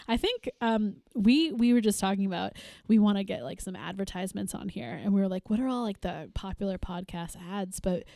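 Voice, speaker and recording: A woman's voice: medium pitch, fairly quick, vocal fry, mellow, no room sound, clean studio sound. Giggly woman podcast co-host. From Massachusetts, US. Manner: giggly, chatty, laughs often. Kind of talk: casual chat.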